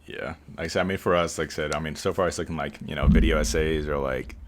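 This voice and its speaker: A man hosting a casual podcast chat, who edits the show, rambling along and describing his own voice as monotone. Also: rumbling low, fast, a little hiss or hum. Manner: relaxed, rambling, says he has a monotone voice. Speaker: podcast host and editor.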